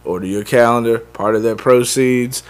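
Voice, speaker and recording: Man, podcast co-host, upbeat, quick event plugs. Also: mid-range voice, fairly even intonation. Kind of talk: casual podcast chat.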